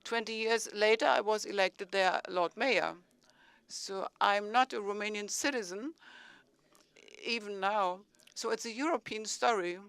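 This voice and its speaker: A man in his forties with a German accent, speaking as a city mayor on a conference panel, measured and searching for words. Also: high-pitched, vocal fry, a bit bright, clean tone, dry recording.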